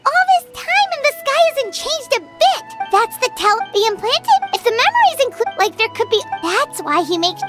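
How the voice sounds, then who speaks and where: A little childlike fairy companion from a video game. The voice is extremely high and squeaky, swinging eagerly with exclamations. Music plays behind it. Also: female voice, big pitch swings, moderate pace, few pauses. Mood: excited. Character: small excitable female fairy companion, childlike and chirpy.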